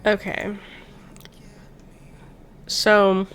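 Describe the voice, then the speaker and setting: A woman's voice: medium pitch, monotone, extremely slow, a bit bright, clean tone. A woman in her thirties chatting casually and telling personal stories in an informal, rambling, sweary way, like a streamer. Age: 30s. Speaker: streamer hosting a chat stream. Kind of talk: casual chat, personal storytelling. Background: background music.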